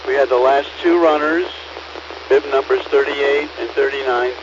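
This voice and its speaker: Mid-pitched man over muffled radio. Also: steady tone, moderate pace. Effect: two-way radio transmission. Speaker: water station radio operator. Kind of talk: procedural radio report. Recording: muffled noisy two-way radio audio.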